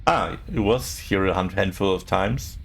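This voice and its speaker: A man, speaking English as a while streaming a game and chatting casually with viewers, often pausing to search for words. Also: deep voice, vocal fry, breathy edge. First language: not English. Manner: halting, searching for words, many fillers.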